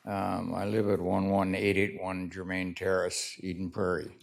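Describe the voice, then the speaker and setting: Slow gravelly-voiced man at a podium. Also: low-pitched, monotone. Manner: halting, pointed, questioning. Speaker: resident giving public comment. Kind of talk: public comment at a city meeting. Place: city council chamber.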